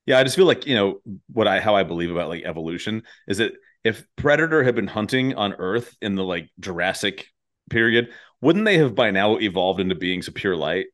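A man's voice: fairly low pitch, expressive, fairly quick, fairly bright tone. A man hosting a casual comedic chat, rambling and jokey, swearing freely as he goes. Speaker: podcast host.

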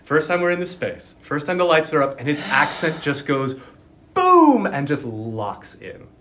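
A man's voice: a little high, sing-song, fairly bright tone, a little hiss or hum. A man working as a dialect coach, talking articulately and enthusiastically in an interview. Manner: articulate, enthusiastic, quick bursts of speech. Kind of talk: conversational interview.

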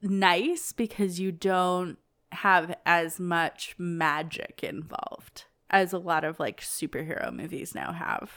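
A woman's voice: on the low side, expressive, slow, a bit bright. A woman in her thirties co-hosting a casual movie podcast, sick with a cold and sounding throaty and a bit sniffly. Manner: easygoing, laughs often. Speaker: podcast co-host.

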